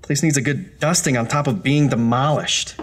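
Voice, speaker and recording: An actor playing an anxious, overworked architect in an audio horror drama, muttering to himself in short halting phrases. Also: male voice, mid-range voice, background noise, natural voice. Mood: weary, self-reproaching.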